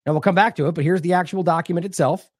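Higher-voiced male news commentator. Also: steady tone, racing, no echo, clean studio sound. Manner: discursive, self-correcting, reads quotes aloud. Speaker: independent news show host. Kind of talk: commentary over played clips.

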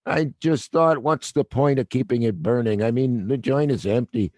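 An actor playing a gruff theater night watchman with a blunt, working-class New way of talking, in an audio drama. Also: medium pitch, normal speed, darkish tone, no echo, clean studio sound, natural voice.

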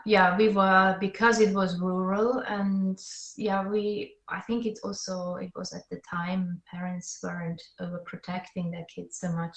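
A woman's voice: fairly low pitch, roomy sound, rough recording. A woman with a Swiss accent speaking as an interview guest, thoughtful and a bit hesitant, often restarting her sentences. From Switzerland. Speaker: podcast guest. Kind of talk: interview conversation.

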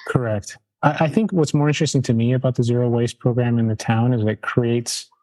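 A man's voice: medium pitch, fairly even intonation, a little fast, mellow, poor audio. A man hosting a casual interview, talking in long rambling sentences with restarts. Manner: rambling, many restarts and trailing phrases. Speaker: interview host.

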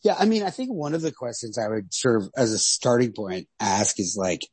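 A man's voice: lowish voice, expressive, brisk, darkish tone, breathy edge, no echo. A man co-hosting a podcast, discussing literature casually and thinking aloud in a tentative, hedging way. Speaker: podcast co-host.

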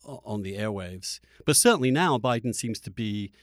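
A man's voice: fairly low pitch, animated, normal speed, no echo. Emphatic male podcast host, close dry sound. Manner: emphatic, rhetorical, repeats phrases for stress. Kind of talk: animated political discussion.

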